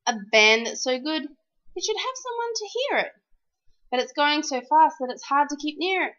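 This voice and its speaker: A woman reading a rhyming children's storybook aloud in an expressive, animated, sing-song way. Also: high-pitched, moderate pace, dull audio.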